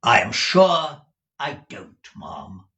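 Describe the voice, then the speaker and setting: A reader voicing a cynical, hard-hearted businessman with a rough, bitter voice and a sardonic manner, in a storybook. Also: medium pitch, big pitch swings, very slow, bright, forceful tone, full character voice. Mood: curt. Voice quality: rough, harsh.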